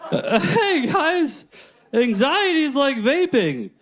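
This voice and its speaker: A man's voice: very high voice, big pitch swings, slow pace, exaggerated voice. A man playing a brash, corny Italian-stereotype comedian on stage, delivering catchphrase-heavy jokes. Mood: brash, hammy. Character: brash corny Italian-stereotype male joke-telling character. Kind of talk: stand-up character comedy. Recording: noisy live club, loud audience.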